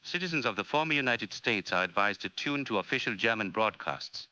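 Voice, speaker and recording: A man's voice: lowish voice, animated, fairly quick, pushed and bright, no echo, poor audio. A man playing a cold, authoritarian occupation official making a clipped, commanding wartime radio announcement.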